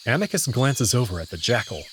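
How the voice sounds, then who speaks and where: A man's voice: medium pitch, sing-song, a little fast, a bit bright, slight background noise. A man narrating a scripted first-person story as its main character. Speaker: narrator and reader of the player character.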